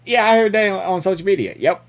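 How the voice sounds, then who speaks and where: A woman game streamer giving casual, excitable live reactions and reading dialogue aloud, often repeating herself. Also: mid-range voice, quick pace.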